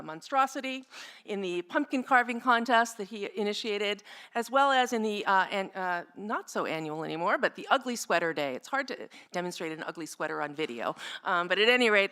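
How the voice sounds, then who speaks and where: A slightly hoarse woman giving an informal tribute speech at an academic event, chatty, with many fillers and asides. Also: mid-range voice, pushed and bright. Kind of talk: informal presentation speech with slides.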